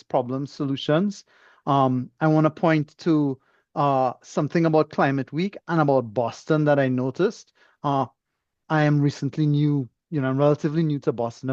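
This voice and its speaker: Muffled Trinidadian man, slightly high voice. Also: darkish tone, no room sound. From Trinidad and Tobago. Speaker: interview guest, engineer. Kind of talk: conversational, reflective interview.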